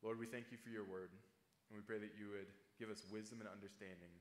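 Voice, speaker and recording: A man preaching a sermon as pastor to his congregation, in a measured and earnest way. He slows down to stress key phrases. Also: on the low side, flat delivery. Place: church sanctuary. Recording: roomy church echo.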